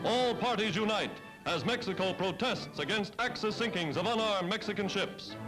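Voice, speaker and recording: Old 40s newsreel guy, american, high voice, very declamatory, music playing behind him, vintage soundtrack. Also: expressive, normal speed, low-quality recording. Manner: emphatic, declamatory delivery. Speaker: newsreel narrator. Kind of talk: 1940s newsreel narration, scripted. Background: loud-ish music under the voice.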